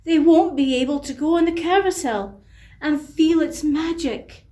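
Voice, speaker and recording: A woman voicing a calm fairy in a children's story, speaking in a measured, gently cautioning way. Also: high-pitched, pitched above the performer's own voice.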